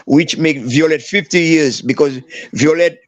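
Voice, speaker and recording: An older man from Haiti shares football memories in a slow, halting way, circling back and restarting his sentences. His voice sits fairly high for a man, and the recording is dry and dull, a lo-fi capture with no room sound. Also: no room sound. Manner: halting, many restarts and fillers. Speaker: guest, former footballer. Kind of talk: storytelling interview.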